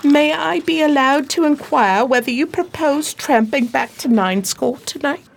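A woman playing a loyal, long-suffering detective's assistant, narrating and acting in a slightly prim, expressive radio play style. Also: high-pitched, normal speed, gravelly.

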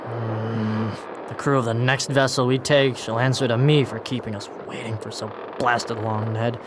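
An actor playing an irritable, menacing pirate captain in a period radio drama, threatening and impatient. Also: male voice, medium pitch, fairly even intonation, normal speed, noisy background, close to an everyday voice.

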